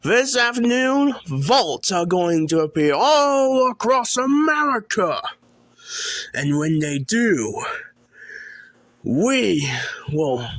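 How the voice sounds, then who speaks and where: A man voices a self-proclaimed god king in a fan audio drama. He is grandiose and menacing, speaking very slowly in a high male voice that swings widely in pitch. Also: fairly bright tone, no echo, exaggerated voice. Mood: menacing, commanding. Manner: grandiose, menacing, slow and drawn-out.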